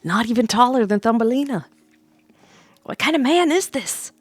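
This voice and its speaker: A woman streamer reading a bedtime story in a soft ASMR style, close to the microphone, with playful, rambling asides to her viewers. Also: fairly high pitch, lively intonation, normal speed, husky, dry recording.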